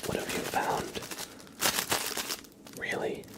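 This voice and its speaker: A man's voice: slow pace, noisy background. A man hosting a live ASMR stream, chatting to viewers with playful, murmured role-play patter. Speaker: livestream host.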